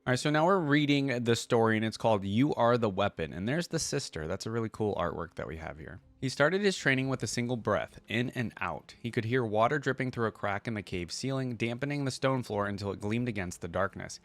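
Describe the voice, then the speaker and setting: A man's voice: medium pitch, animated, brisk, hardly any pauses, dry recording. A man hosting a reaction video, reading fantasy lore aloud and commenting casually.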